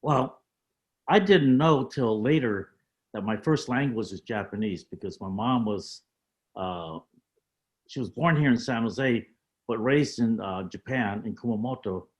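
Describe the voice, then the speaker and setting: Halting older man recalling his past. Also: mid-range voice. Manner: measured, halting, with many fillers. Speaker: panel guest. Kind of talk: reflective storytelling on a panel.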